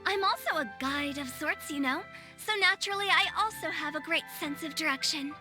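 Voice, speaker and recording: A woman acts as a playful young female director in a video game, with a very high, girlish pitch. The delivery is breezy, teasing and sure of itself, over clearly audible music. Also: brisk, close to an everyday voice. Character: playful, self-assured young female funeral parlor director. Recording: music behind the voice.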